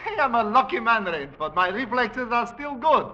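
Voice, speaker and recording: A posh cruel playful hunter villain, high theatrical voice, dull old 40s radio recording. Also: male voice, big pitch swings, normal speed, sharp tone, heavily acted. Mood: amused, taunting. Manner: theatrical, mockingly courteous, taunting. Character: suave, gloating aristocratic male big-game hunter, cruelly playful villain. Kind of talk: 1940s radio drama acting.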